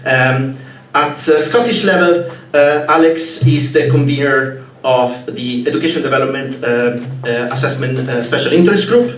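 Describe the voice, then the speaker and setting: Man, conference host, halting delivery, lots of fillers, roomy sound, mic at a distance. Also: medium pitch. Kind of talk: announcements and speaker introduction. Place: conference room. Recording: echoey room, distant mic, faint noise.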